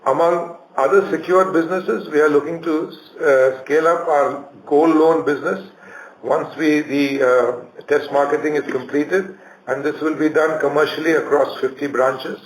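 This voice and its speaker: A man's voice: a little high, fairly even intonation, moderate pace. A man with an Indian accent giving a prepared corporate presentation as an executive on an earnings call. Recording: roomy conference line with faint noise.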